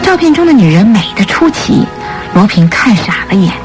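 A woman narrating a radio drama from a script in an expressive storytelling manner. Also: medium pitch, normal speed. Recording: studio radio play with music bed.

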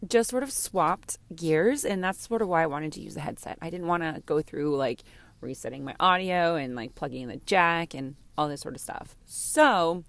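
Female podcast host, lower-pitched and a little hoarse, rambling candid chat, dry in-car sound. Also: no echo. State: slightly hoarse. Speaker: podcast host and game streamer. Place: inside a parked car.

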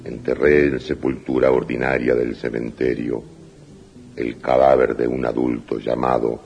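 A solemn priest reads formally in an extremely deep, flat, gravelly voice. It is an old radio drama with a dull sound and faint music. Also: male voice, a little slow, full character voice. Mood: solemn, official. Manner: formal, monotone. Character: solemn parish priest reading a burial record. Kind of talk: 1980s radio drama acting.

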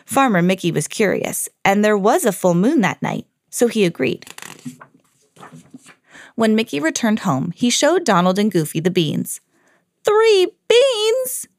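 A woman storyteller hosting a podcast, reading a children's fairy tale expressively with clear enunciation. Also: medium pitch, very animated, normal speed, no room sound.

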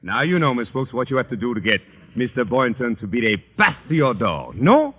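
A man playing an excitable, persuasive Frenchman with a French accent in a 1940s radio comedy. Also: medium pitch, moderate pace, breathy edge, in a large hall. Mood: knowing. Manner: emphatic, animated, persuasive. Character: excitable Frenchman, scheming romantic adviser. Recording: noisy muffled old radio recording.

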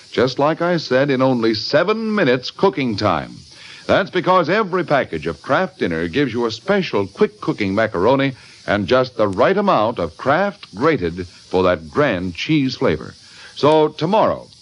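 A man announcing a commercial in 1940s radio style, with a polished sales pitch.

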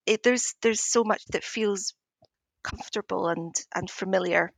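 A woman with an Edinburgh Scottish accent, speaking conversationally as an interview guest in a thoughtful, hesitant, measured way. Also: medium pitch, gravelly, dry recording. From Scotland, Edinburgh.

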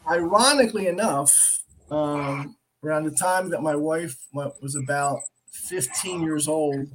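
A middle-aged American man with a fairly high voice gives an informal Bible teaching. He tells stories earnestly and conversationally, often repeating a phrase to drive it home. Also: measured pace, a little hiss or hum. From Philadelphia area, United States. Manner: earnest, animated storytelling with repeated phrases for emphasis. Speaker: preacher.

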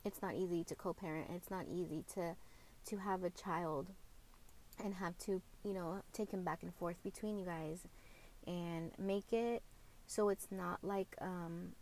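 A woman with a slightly low voice talks candidly into a close podcast mic. She is reflective and open, with many fillers and self-corrections. Faint room ambience sits behind her. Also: darkish tone, a little hiss or hum. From Mexican heritage, grew up speaking Spanish at home. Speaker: podcast host. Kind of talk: candid personal podcast monologue.

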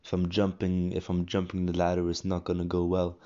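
A young man rambling casually about his day, self-correcting often, his voice croaky from a cold. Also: deep voice, completely flat delivery, brisk, warm tone. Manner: rambling, self-correcting, frequent ums and restarts. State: croaky voice from a cold, coughing and clearing throat. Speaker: vlogger. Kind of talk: casual personal audio diary. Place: at home. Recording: dull lo-fi recording.

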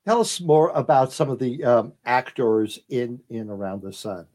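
A man's voice: mid-range voice, moderate pace, a little mellow. A man hosting a casual interview as a drag queen, with an effusive manner.

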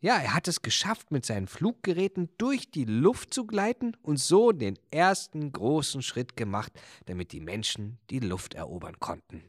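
A man presenting a children's show, narrating with lively enthusiasm. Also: mid-range voice, moderate pace, hardly any pauses, dry recording, studio quality.

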